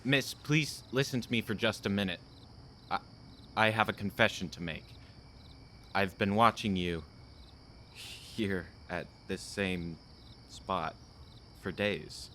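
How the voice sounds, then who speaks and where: A lonely earnest cop in a radio drama, deep bright voice, bit of noise behind. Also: male voice, takes it slowly, long pauses. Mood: confiding, wistful. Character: earnest lonely policeman, grieving his dead fiancée. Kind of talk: radio play acting.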